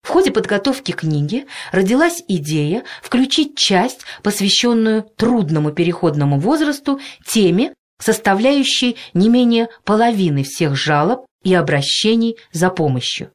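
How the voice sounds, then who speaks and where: Woman narrating an audiobook with lively intonation. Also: mid-range voice, normal speed, hardly any pauses. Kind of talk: scripted audiobook narration of a nonfiction book.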